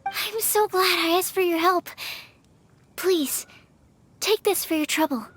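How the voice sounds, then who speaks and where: An actress playing a sweet, earnest young alchemist in an anime game, speaking gently and politely. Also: female voice, very high-pitched, unhurried, dry recording. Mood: grateful.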